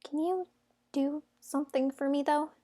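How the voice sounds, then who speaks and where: Young fairytale heroine female actor, high voice, outspoken and emphatic, amateur radio-play delivery. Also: fairly even intonation, moderate pace, warm tone, natural voice. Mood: softer, sincere. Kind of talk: amateur audio drama acting.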